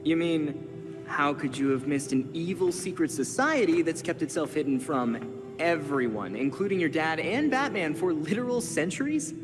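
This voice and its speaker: Game sidekick: actor as brainy teenage boy, lightly high voice, earnest, music and reverb around him. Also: lively intonation, moderate pace, lightly acted. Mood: reassuring. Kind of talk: video game cutscene acting. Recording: reverberant hall, music behind.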